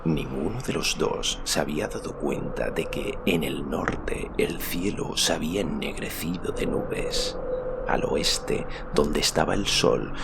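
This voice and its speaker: A man's voice: extremely low pitch, vocal fry, very noisy. A man narrating a literary fantasy audiobook from a script. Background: prominent music.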